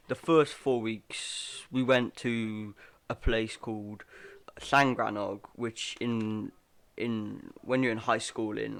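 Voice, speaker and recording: A young man hosts a loose, chatty podcast, meandering through his stories and laughing along the way. His voice sits at an ordinary middle pitch, and the talk is loose and full of filler. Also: a bit bright. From Wales. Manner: rambling, laughing, lots of "bro" and "like". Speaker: podcast host. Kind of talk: casual chat.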